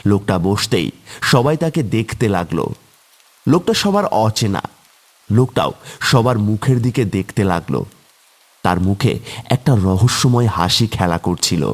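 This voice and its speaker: A man's voice: fairly low pitch, big pitch swings, normal speed, long pauses. A man narrating a scripted horror tale as a storyteller for a cartoon.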